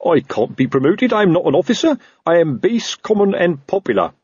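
A man's voice: high voice, big pitch swings, a little mellow, slightly rough, no room sound, highs cut off, voice pushed up, full character voice. Man as a miserable, moaning police sergeant. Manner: moaning, halting, rambling explanations. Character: anxious, miserable, long-suffering male police sergeant.

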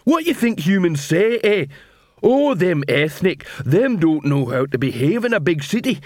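A man voicing a slow-witted male troll watchman who speaks in broken, halting grammar. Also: high voice, big pitch swings, dry recording, clean studio sound, voice pushed up. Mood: gruff.

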